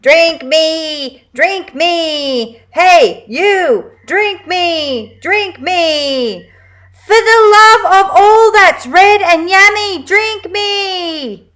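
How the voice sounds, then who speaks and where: Woman as a needy juice box robot toy: raised pitch, loud, insistent. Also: lively intonation, drawn-out words, few pauses, full character voice. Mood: pleading.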